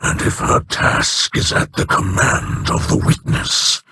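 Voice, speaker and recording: An actor playing a solemn alien ally who speaks in slow, formal, measured sentences, in a noisy game audio rip. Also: male voice, pushed and bright. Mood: grave.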